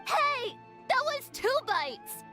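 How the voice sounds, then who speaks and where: Young kid, high pitch, bright forceful tone, earnest, spirited, childlike, video game. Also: female voice, sing-song, slow, soft background music, full character voice. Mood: indignant. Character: young child, shy but spirited sharer. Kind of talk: scripted video game dialogue.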